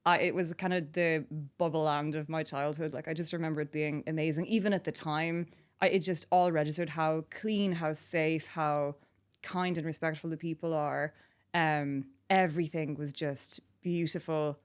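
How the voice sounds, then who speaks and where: A chatty woman from France, a podcast guest whose English carries a mixed international accent. She talks casually, often saying "like" and "um". Her voice sits slightly low for a woman. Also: fairly even intonation, moderate pace. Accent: mixed international, slightly Irish-tinged English. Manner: chatty, with frequent "like" and "um", laughing easily. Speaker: guest, author and yoga teacher.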